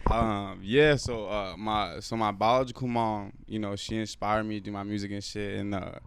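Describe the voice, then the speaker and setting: A man's voice: fairly low pitch, steady tone, normal speed, clean tone. A man in his twenties, born in South Africa and raised in London, chatting casually as a rapper guest, laid-back and full of fillers. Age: 20s. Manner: laid-back, lots of "like" and "you know what I'm saying". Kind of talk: casual interview chat.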